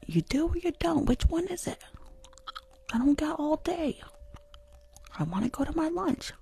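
A woman in her twenties doing hushed ASMR roleplay and livestream chat, murmuring repetitive little phrases close to the microphone. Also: sits high, big pitch swings, moderate pace, vocal fry, slight background noise. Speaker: ASMR creator and livestream host. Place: small indoor room at home. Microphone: close desktop microphone, earphones on.

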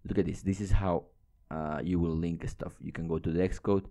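A man's voice: sits low, steady tone, normal speed, warm tone. A young adult man giving an informal, explanatory coding tutorial as an instructor, speaking casually into a close microphone. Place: indoors at a computer.

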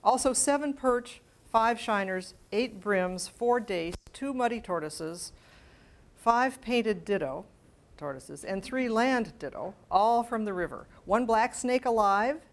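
An older woman giving a public lecture, reading letters aloud in a measured, precise way and adding informal asides. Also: on the high side, expressive. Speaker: guest lecturer. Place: medium-sized library meeting room with seated audience. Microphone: lapel mic.

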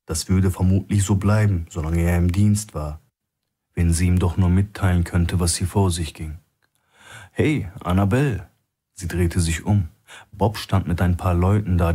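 Deep, dark, husky male narrator. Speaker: audiobook narrator. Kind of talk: scripted narration of a thriller.

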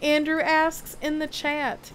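A woman's voice: high-pitched, little pitch movement, a bit bright, a little hiss or hum. Woman in a put-on Southern drawl. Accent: put-on Southern American drawl. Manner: playful, drawn-out words, swears casually. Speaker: streamer. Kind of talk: casual gameplay livestream chat.

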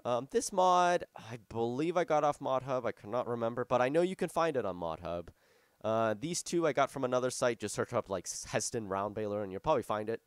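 A hoarse young man who is losing his voice, giving rambling, casual commentary over a farming game at his desk microphone, with lots of fillers and restarts. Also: mid-range voice, animated, brisk, no echo, studio quality. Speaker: gaming YouTuber. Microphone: desktop microphone.

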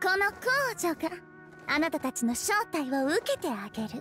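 A theatrical self-crowned princess. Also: female voice, high-pitched, big pitch swings, normal speed, fairly bright tone, faint music behind. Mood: grandiose. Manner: grandiose, melodramatic proclamations. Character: haughty self-styled young princess, theatrical. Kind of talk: video game voice acting. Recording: game cutscene audio with soundtrack under it.

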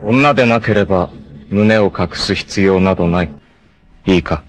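An actor playing a fierce, proud martial artist in an anime, with an intense, theatrical delivery. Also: male voice, sits low. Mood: brooding, cool. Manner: intense, theatrical, from cool measured speech to anguished shouts. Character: fierce, proud martial artist hunting his sister's abductor. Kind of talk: dramatic anime acting.

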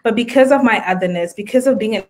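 A woman's voice: medium pitch, quick pace. A woman from Zimbabwe with a Zimbabwean accent, speaking as a guest in a casual video-call interview, thoughtful with fillers and restarts. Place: indoor room at home.

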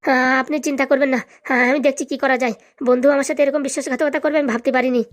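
A cartoon actor voices an indignant young man with a rural Bengali accent. The voice is high and races along very fast, excitable and full of boastful swagger, as a wronged friend in a village comedy. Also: lightly acted. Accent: Bengali, rural dialect.

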